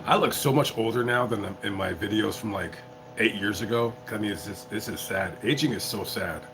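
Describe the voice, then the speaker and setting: A tipsy man live-streaming as a YouTuber, chatting casually in a rambling, halting way full of restarts. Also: on the low side, noisy background. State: tipsy from drinking. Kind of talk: casual livestream chat.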